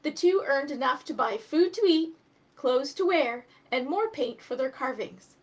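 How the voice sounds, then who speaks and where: A woman's voice: high voice, lively intonation, measured pace. A woman hosting a children's storytime, talking to viewers and reading a story aloud expressively. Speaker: storytime host and reader.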